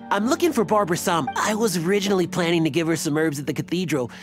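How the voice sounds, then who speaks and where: A man voicing an earnest, self-important young fan club leader in a video game, stammering and fussy. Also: high-pitched. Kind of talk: video game character acting.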